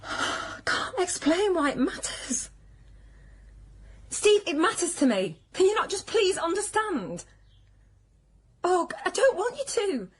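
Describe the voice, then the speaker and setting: A woman's voice: very high-pitched, very animated, takes it slowly, long pauses, a little hiss or hum, full character voice. A woman playing an anxious, stubborn young woman in a naturalistic radio drama. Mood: upset, pleading. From northern England.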